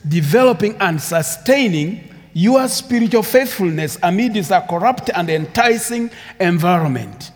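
A man with a Ugandan English accent preaches slowly and deliberately, his voice sitting a little high for a man. His earnest sermon repeats key phrases and climbs in emphasis. The sound carries a long church reverb. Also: expressive. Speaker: guest preacher.

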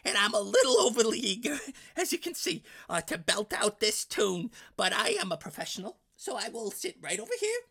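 A woman voicing an eager, showy middle-aged dad who loves to sing and brags of a rich baritone. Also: very animated, a little fast, creaky, hardly any pauses, fairly bright tone, slightly rough, no room sound, studio quality, full character voice. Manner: overeager, theatrical, giggly. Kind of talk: comedic show sketch.

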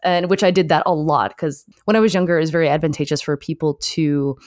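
A woman's voice: sits low, fairly even intonation, quick pace, a little mellow. A young woman from the Midwest hosting a podcast interview in a chatty, giggly way, with lots of fillers. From Michigan, US Midwest. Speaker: podcast host. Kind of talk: casual interview chat.

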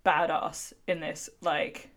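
A woman's voice: low-pitched, level tone, slow pace. Informal, opinionated woman chatting about film. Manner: informal, opinionated, sweary, frequent "like". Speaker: film discussion podcast host. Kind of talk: casual chat, film discussion.

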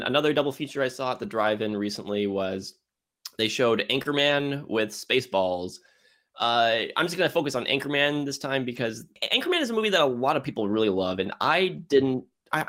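A man's voice: mid-range voice, lively intonation, brisk, a bit bright. A man co-hosting a casual film discussion, talking enthusiastically in a rambling way that halts mid-phrase. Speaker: podcast co-host reviewing films.

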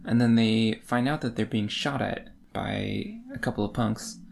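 Twenties guy cohosting a podcast, lots of creak in his voice, chatting about books casually. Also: lowish voice, a little slow, a little hiss or hum. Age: 20s. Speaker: podcast co-host. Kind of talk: casual book discussion chat.

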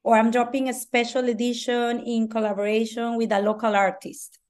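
A woman from Mexico with a Mexican Spanish accent, Spanish as her first language, chatting on a podcast and often pausing to search for words. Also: fairly high pitch, little pitch movement, darkish tone. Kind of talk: casual podcast chat.

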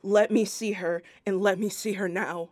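A woman's voice: medium pitch, fairly even intonation, normal speed, a little mellow, no room sound, natural voice. A woman acting in an audio drama as the ghost of a dead mother, protective of her daughter. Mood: desperate.

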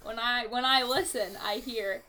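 A woman's voice: fairly high pitch, fairly even intonation, moderate pace, bright, forceful tone, low-quality recording. A woman co-hosting a casual movie podcast, teasing and playful. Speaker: podcast co-host.

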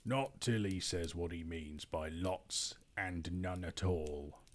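A man doing a slow, surly, suspicious troll. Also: deep voice. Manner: slow, surly, rough slang. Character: suspicious, quarrelsome male troll.

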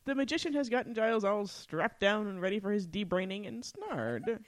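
A woman podcast co-host recaps an episode casually in a very animated, medium-pitched voice. She is playful and giggly, and the sound is close and dry. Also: moderate pace, no echo.

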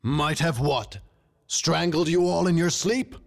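A man voicing a huge, fierce tiger king in a storybook, speaking with imperious indignation and booming questions. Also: a little slow, creaky. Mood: stern, wrathful.

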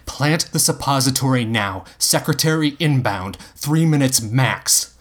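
A man's voice: mid-range voice, expressive, unhurried. A man voicing a brash, foul-mouthed woman who mockingly barks orders as a mission handler in an audiobook. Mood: barking orders. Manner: mocking, commanding.

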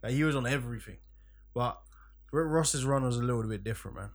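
A man's voice: medium pitch, fast talker. A man in casual podcast banter with a laid-back, wry way of talking. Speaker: podcast co-host.

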